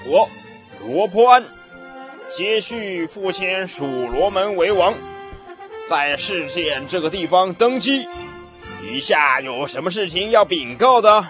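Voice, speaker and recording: Man acting a haughty young king, swooping pitch. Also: animated, a bit bright, soft background music, heavily acted. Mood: pompous. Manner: pompous, drawn-out. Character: haughty, dismissive young newly crowned king. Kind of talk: Bible radio drama acting.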